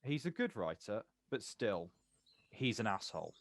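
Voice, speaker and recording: An actor playing a guarded but kind poet in a stage play, questioning and prone to stammer when unsure. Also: male voice, on the low side, big pitch swings, moderate pace, no echo. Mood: wry, curious. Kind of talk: stage play acting, quiet two-hander.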